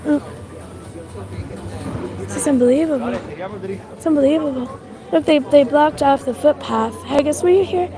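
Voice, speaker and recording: A woman with a mixed Canadian and Irish accent talks to her viewers on a phone she holds while walking down a city street. Her voice sits a little high with a gravelly edge, and she shivers from the cold. People chatter around her. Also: lively intonation, a little slow, pauses often. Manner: chatty, thanks viewers, rambling with fillers. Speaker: livestreamer. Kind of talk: casual live stream commentary. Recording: outdoor street recording with crowd.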